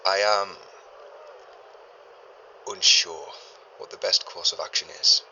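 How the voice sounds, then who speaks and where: An actor playing a learned 1930s archaeologist, a man recording a formal, deliberate journal in grave and uneasy tones for an audio drama. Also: sits low, steady tone, bright, forceful tone, muffled recording, slight background noise, heavily acted. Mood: shaken, worried. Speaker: expedition leader keeping a recorded log. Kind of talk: scripted audio drama monologue, spoken journal.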